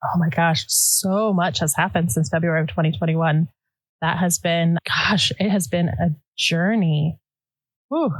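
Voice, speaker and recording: Female podcast guest, native Dutch speaker, talkative and relaxed, slightly low voice. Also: measured pace, dry recording, low-quality recording. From United States. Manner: chatty, says "like" often. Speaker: podcast guest, quilt pattern designer. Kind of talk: casual interview chat.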